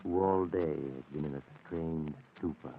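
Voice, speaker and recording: An actor playing an ominous storyteller, narrating a 1940s radio mystery in a deliberate, dramatic way. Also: male voice, a bass voice. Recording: noisy, tinny old radio recording.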